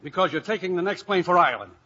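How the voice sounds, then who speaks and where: An exasperated office boss in an old radio drama. The voice is high and rough-edged, and he hands out orders briskly. The recording is dull, like a vintage broadcast. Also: expressive, bright, forceful tone, full character voice. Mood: brisk, pressing. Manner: brisk, bossy. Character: brisk, exasperated male insurance company boss. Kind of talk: 1950s radio acting.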